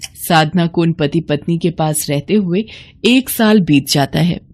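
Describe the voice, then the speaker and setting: A woman's voice: deep voice, measured pace, a little mellow. A woman narrating a scripted moral bedtime story as its storyteller.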